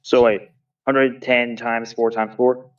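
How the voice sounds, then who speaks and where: Curious friend on the call, muffled and far from the mic. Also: male voice, medium pitch, level tone, slow pace. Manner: curious, asks lots of questions, repeats himself. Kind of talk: casual chat.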